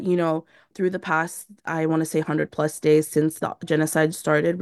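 A woman's voice: fairly low pitch, vocal fry, dry recording, studio quality. A young woman from San Diego, an organizer being interviewed, answering casually with lots of "you know" and "like". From San Diego, United States. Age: young adult. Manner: conversational, many "you know" and "like" fillers. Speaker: community organizer, interview guest.

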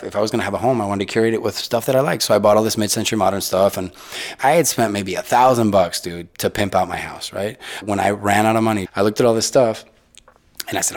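A young man from the Tijuana area, a native Spanish speaker, telling his story in a casual, laid-back way, calling people dude and bro. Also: lowish voice. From Mexico, Tijuana area. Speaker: interviewee. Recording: music playing underneath.

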